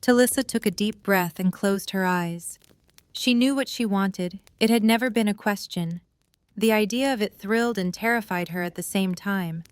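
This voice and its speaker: A woman's voice: mid-range voice, normal speed, no echo. A woman reading scripted narration for an audio drama as its storyteller.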